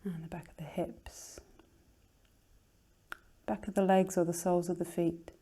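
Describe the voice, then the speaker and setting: A woman teacher leading a guided relaxation in a soothing, unhurried, gently instructing manner, her soft voice turning breathy at phrase ends. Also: on the low side, flat delivery.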